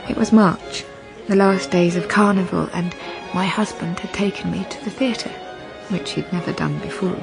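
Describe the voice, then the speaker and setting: A creaky-voiced sorrowful young wife in a period radio drama. She narrates in a halting, confiding manner, with music clearly audible behind her. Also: fairly low pitch, steady tone. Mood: sorrowful, restrained.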